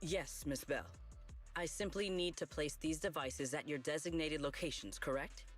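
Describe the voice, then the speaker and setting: An actor playing a formal, dutiful and courteous swordmaster in an anime game, with deliberate wording. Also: mid-range voice, natural voice. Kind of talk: scripted anime game acting. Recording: game music behind the voice.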